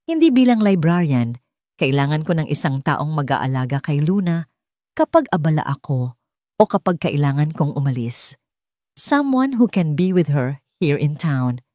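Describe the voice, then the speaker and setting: A woman's voice: low-pitched, very animated, moderate pace, dark tone, dry recording, studio quality. A woman with a Filipino accent voicing an earnest, decisive, wealthy single father in an audiobook. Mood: earnest, pleading. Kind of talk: audiobook character dialogue.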